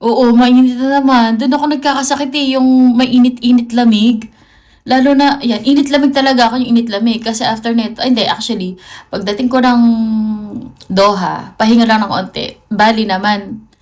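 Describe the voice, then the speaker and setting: A woman's voice: fairly high pitch, steady tone, normal speed, a little mellow. A Filipino woman chatting casually and playfully on a livestream, mixing in English. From Philippines. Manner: playful, chatty, mixes English into her talk. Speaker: livestream host.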